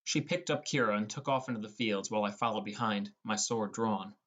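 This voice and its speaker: A man's voice: on the low side, fairly quick, a bit bright. Young man narrating a fantasy audiobook. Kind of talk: scripted first-person fantasy narration.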